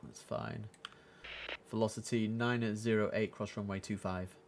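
Low-voiced young man streaming a game. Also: fairly even intonation, a little slow, creaky. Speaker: livestreamer playing an air traffic controller. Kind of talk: casual gaming commentary mixed with clipped radio phraseology. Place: indoor home streaming setup. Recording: noisy room with keyboard clicks.

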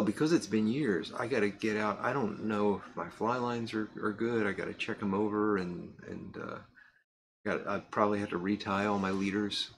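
A middle-aged man chatting casually to camera in a cluttered workshop, rambling with fillers and pausing to puff on a pipe. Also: on the low side, creaky, slight background noise. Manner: relaxed and rambling, many fillers and restarts; pauses to puff on a pipe while talking. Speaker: pipe-smoking vlogger. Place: cluttered workshop room, indoors.

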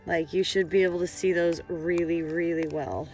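A woman's voice: lowish voice, fairly even intonation, moderate pace, darkish tone, soft background music. A woman in her thirties vlogging casually outdoors, enthusiastic and informal, full of exclamations, recorded on a handheld camera. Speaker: vlogger and rock-hunting host. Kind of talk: casual outdoor vlog chat. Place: outdoors on a rocky shore.

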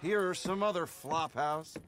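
Man playing an eager, high-voiced western writer. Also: a little slow, background noise, natural voice. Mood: desperate, persuading. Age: middle-aged. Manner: earnest, pleading, polite. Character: eager, fussy middle-aged writer, desperate and starstruck. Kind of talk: cinematic game dialogue acting.

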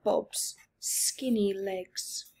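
A middle-aged woman reading aloud to children with commentary, in an expressive, emphatic manner. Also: medium pitch, extremely slow, slightly rough, in a whisper. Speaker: storyteller for children. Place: small living room, indoors.

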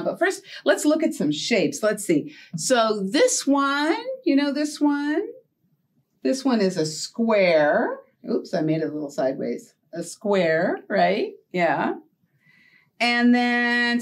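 A middle-aged American woman leading a children's storytime, speaking simply and encouragingly to young listeners as she teaches and tells stories. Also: medium pitch. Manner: animated, encouraging, addresses young children with questions. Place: indoor storytime set with backdrop and felt board.

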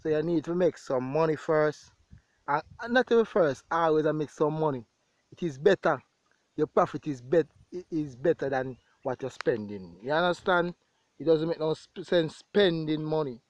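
A man's voice: fairly high pitch, animated, moderate pace, no echo, lo-fi sound. A man with a Jamaican accent talking casually to viewers as a farmer vlogging outdoors, repeating phrases for emphasis. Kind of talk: casual vlog talk to viewers. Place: outdoors in an open grassy pasture.

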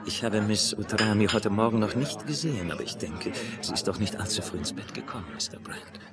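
Man playing a low-voiced, courteous steward. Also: a little fast, crowd noise, lightly acted. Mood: discreet. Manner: formal, deferential. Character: courteous, discreet male ship's steward. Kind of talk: German radio play acting.

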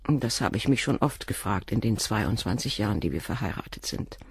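An actress playing a composed middle-aged doctor's wife and former nurse, speaking frankly and in a measured way in a radio drama. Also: female voice, very deep voice, animated, slightly rough, no room sound, studio quality, heavily acted. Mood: candid. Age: 40s. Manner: measured, frank, controlled confession. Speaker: witness questioned by the inspector.

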